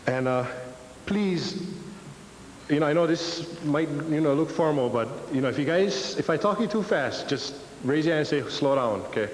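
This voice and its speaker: A young man from Hawaii speaks in a Hawaiian Pidgin accent, loose and self-mocking as he admits his nerves to the audience. His voice sits slightly high for a man. The recording carries a long reverb from a lecture hall and a faint hiss underneath. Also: moderate pace. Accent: Hawaiian Pidgin English. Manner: nervous, joking, self-deprecating. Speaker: guest speaker. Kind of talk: casual public talk.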